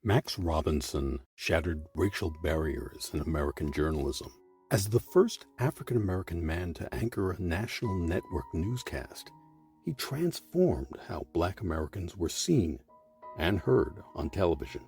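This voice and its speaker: A man's voice: low-pitched, expressive, normal speed, a little mellow, soft background music. A man hosting and narrating a scripted history documentary. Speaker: host and narrator.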